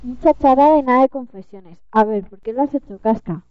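A woman acts out a forthright young witch who keeps the peace in an amateur radio play. Her voice is fairly high. The recording sounds a little dull. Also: brisk, natural voice. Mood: demanding. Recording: dull home-made recording.

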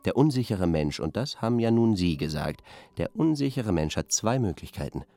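An actor playing an earnest, lecturing intellectual art critic in a radio drama studio discussion. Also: male voice, lowish voice, sing-song, normal speed, warm tone, no echo, soft background music. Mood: measured, argumentative.

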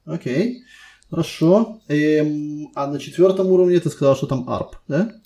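A man with a creaky, mellow voice pitched a little high. He works as a technical interviewer, building each question deliberately and conversationally, one step at a time.

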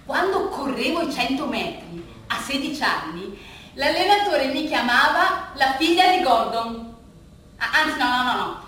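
An actress playing a bittersweet, rambling woman who reminisces in a halting, confiding, repetitive colloquial way, as in a theatre monologue. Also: sits high, sing-song, sharp tone, heavily acted. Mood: wistful, wry. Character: rambling, bittersweet woman reminiscing about her athletic youth. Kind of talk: theatrical monologue, conversational storytelling. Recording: echoey room, distant mic, faint noise.

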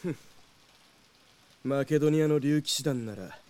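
A man playing a gruff, scornful warrior in an anime audio drama. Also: a little high, measured pace, a little mellow, close to an everyday voice.

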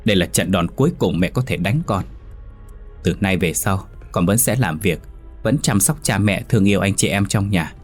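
A man voicing a timid young adopted daughter in a storybook, soft and pleading. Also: deep voice, moderate pace, breathy edge, soft background music. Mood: resolute.

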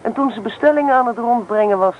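An actress playing a headstrong, teasing young student in a radio play, blunt and self-assured in naturalistic dialogue. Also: female voice, on the high side, fast talker, phone-quality sound, natural voice. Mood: frank, reflective. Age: young adult. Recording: noisy old radio play recording.

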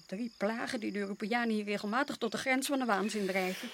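An actress playing a weary, disillusioned colonial official's wife in a radio play, sharp-tongued and reproachful. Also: female voice, mid-range voice, fast, slight background noise, close to an everyday voice. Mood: bitter. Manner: pointed, reproachful. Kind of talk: radio drama acting in Dutch.

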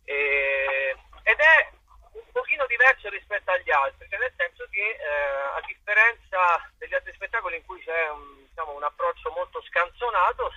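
A man's voice: on the high side, pushed and bright, husky, dry recording, lo-fi sound. A man answering interview questions conversationally as an actor and playwright, hesitant and searching for words, often repeating himself.

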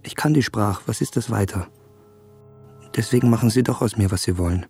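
An actor playing an embarrassed, anxious young gentleman traveller in a radio drama, with an Austrian accent and a polite, hesitant manner. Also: on the low side, fairly quick, lightly acted. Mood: flustered. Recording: music behind the voice.

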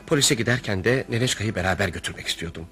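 An actor playing an earnest, anxious family friend who narrates and speaks in a radio play, with theatrical delivery. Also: male voice, fairly low pitch, quick pace, fairly bright tone, husky, soft background music. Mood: reflective, wry. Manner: theatrical, reflective storytelling, emphatic protests.

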